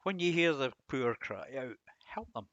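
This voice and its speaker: A man giving an informal devotional commentary on verses, musing and halting, with self-deprecating asides. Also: medium pitch, animated, fairly bright tone, dry recording, dull audio. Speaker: host of a Bible reading series.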